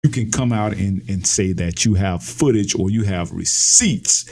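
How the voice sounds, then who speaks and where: A man's voice: low-pitched, unhurried, gravelly, a little mellow, slightly rough. An American man giving an opinionated political commentary, speaking emphatically and repeating phrases for effect. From United States.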